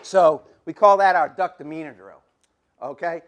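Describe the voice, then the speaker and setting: A man's voice: fairly high pitch, moderate pace, a bit bright. A middle-aged man giving a football coaching clinic, folksy and repetitive, demonstrating drills as he talks while losing his voice.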